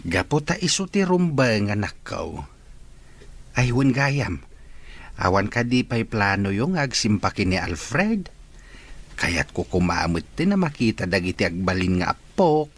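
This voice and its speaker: An older man playing a fond father who longs for grandchildren, in a radio drama, with a husky voice. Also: fairly low pitch, sing-song, a little slow, exaggerated voice. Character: aging father eager for grandchildren.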